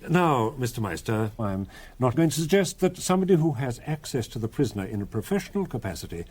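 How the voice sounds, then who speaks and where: An actor playing a courteous, authoritative senior police commissioner in a radio drama, with a British accent and a measured, politely probing manner. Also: male voice, medium pitch, big pitch swings, darkish tone, slightly rough.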